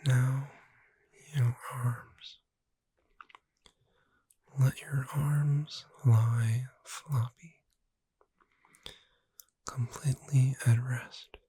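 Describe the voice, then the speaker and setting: Woman as a gentle witch bookseller whispering you to sleep, breathy n slow, really close dry asmr. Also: very deep voice, little pitch movement, no room sound, full character voice. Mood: calm, soothing. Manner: slow, soothing, deliberate. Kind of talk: soft-spoken ASMR sleep roleplay, guided relaxation.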